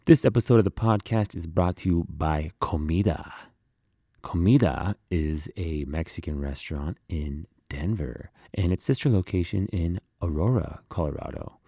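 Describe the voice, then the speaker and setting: A man with a low voice and a soft, dark tone hosts a casual podcast. The sound is close and dry, with very little room on it. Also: moderate pace, slightly rough, dry recording. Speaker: podcast host.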